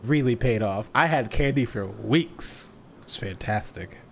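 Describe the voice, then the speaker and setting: A man telling a story casually to a livestream audience, deliberately stretching words for emphasis. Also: mid-range voice, animated, creaky, slight background noise.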